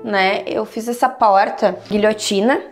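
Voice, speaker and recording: A woman's voice: medium pitch, measured pace. A woman architect in her thirties, talking casually about her own kitchen design, often ending with tag questions. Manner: relaxed, frequent "né?" tag questions. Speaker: architect, homeowner guest. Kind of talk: casual interview answers. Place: large modern home kitchen, indoors. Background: faint music.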